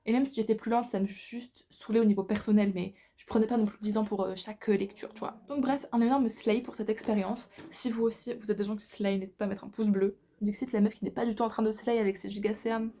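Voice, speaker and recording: Mellow young woman chatting casually. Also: medium pitch, steady tone, fast talker, hardly any pauses, slight background noise. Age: 20s. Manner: informal, slangy, peppered with English slang. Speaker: book vlogger. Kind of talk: casual chat to camera.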